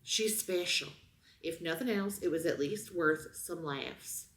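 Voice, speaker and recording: A woman with a Southern US accent co-hosting a comedy show, chatting casually and reading product reviews aloud in a deliberate, mock-earnest way. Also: lowish voice, a bit bright.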